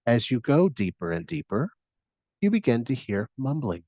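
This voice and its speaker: A man narrating a scripted guided meditation as the guide, giving instructions in a soothing, measured manner. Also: mid-range voice, sing-song, warm tone, no room sound, lo-fi sound.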